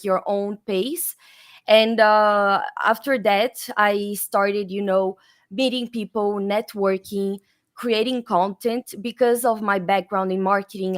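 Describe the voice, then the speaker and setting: Brief: Brazilian-accented woman, mid-pitched, chatty guest, lots of fillers, video call audio. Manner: chatty, many fillers and restarts. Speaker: guest, developer advocate. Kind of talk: casual interview chat.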